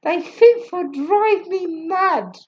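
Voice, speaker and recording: A woman voicing a loud, laughing middle-aged Jamaican mother with a booming, sing-song manner. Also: expressive, slow, highs cut off, exaggerated voice. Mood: boisterous. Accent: Jamaican (put on).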